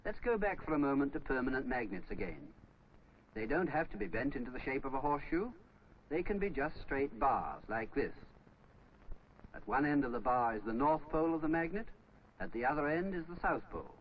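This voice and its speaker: A man's voice: mid-range voice, big pitch swings, a little fast. Guy doing old 50s school film narration, explains things step by step, muffled soundtrack w/ a bit of noise. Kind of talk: 1950s instructional film narration, scripted. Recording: dull, noisy old 1950s film soundtrack.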